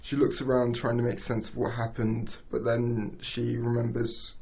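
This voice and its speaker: A man narrating stage directions at an amateur script table read, halting and stumbling over words. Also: medium pitch, monotone, gravelly. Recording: dull lo-fi recording.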